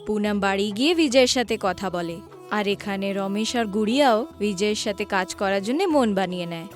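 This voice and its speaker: A woman's voice: mid-range voice, normal speed, faint music behind. A woman giving scripted storybook narration for a cartoon.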